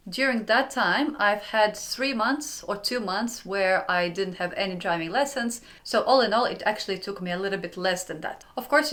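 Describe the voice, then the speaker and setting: A young woman from Ukraine, hosting her own channel, gives friendly step-by-step advice in a clear, upbeat way. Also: mid-range voice, a bit bright. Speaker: channel host.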